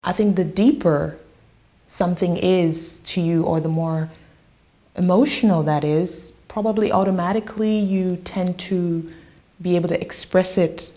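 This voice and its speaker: Soft-spoken woman with a mellow voice. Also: lowish voice, fairly even intonation, a little slow. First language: Yoruba. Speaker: singer-songwriter. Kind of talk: interview talk.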